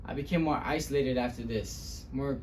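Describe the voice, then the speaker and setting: A man playing a wounded young Mexican-American man in a dramatic monologue about a hard childhood, speaking haltingly with long pauses. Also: medium pitch, slight background noise, lightly acted. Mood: somber, hurt. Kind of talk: dramatic interpretation monologue, speech-competition acting.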